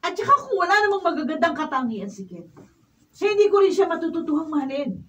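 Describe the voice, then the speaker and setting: Upset little sis pleading in a radio drama, really high pitched, away from mic. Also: female voice, very animated, fast, far from the mic. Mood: hurt, pleading. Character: hurt, tearfully protesting younger sister. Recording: off-mic room recording.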